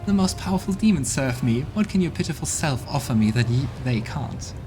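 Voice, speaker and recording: A man's voice: medium pitch, expressive, a little fast, lightly acted. A man voicing an arrogant, haughty female demon who talks with contempt, over game music. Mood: scornful.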